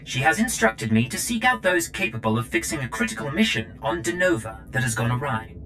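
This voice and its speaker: A man's voice: on the low side, fairly quick, music in the background, natural voice. A man voicing a formal, deferential servant droid in a video game. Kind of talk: scripted video game acting.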